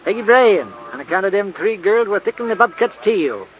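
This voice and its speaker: An actor playing a cantankerous, folksy cowboy drive-in manager with an American Western drawl in an old radio drama. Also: male voice, high voice, very animated, moderate pace. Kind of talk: 1950s radio comic character acting. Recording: muffled, noisy old radio recording.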